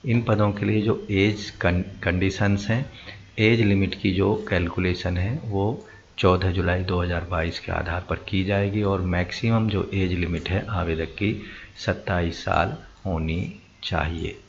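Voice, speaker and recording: Low-voiced, slow-speaking Indian man. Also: fairly even intonation, gravelly. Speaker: presenter of job recruitment notices. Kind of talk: informational explainer, reading out notice details. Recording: muffled lo-fi audio with faint noise.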